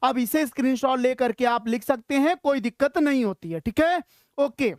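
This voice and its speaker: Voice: high voice, normal speed, no echo, studio quality. A teacher gives a live grammar lesson to school students, asking questions, answering them and repeating key phrases.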